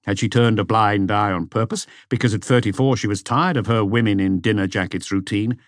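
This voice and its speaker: A man's voice: lowish voice, steady tone, a little fast, dry recording, studio quality. A man reading a mystery novel aloud as a scripted audiobook narration.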